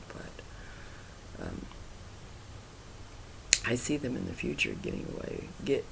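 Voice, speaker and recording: A middle-aged woman chatting casually to her viewers, hesitant with many ums and trailing off quietly, her throat raspy from a cough. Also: a bass voice, low-quality recording. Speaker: cross-stitch vlogger.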